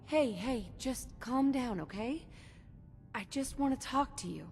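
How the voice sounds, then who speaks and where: A woman playing a composed, diplomatic heroine in a video game, speaking in a calm, controlled and reassuring way. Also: high-pitched, measured pace, faint music behind. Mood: soothing. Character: composed, diplomatic heroine, incoming director of a secret institute.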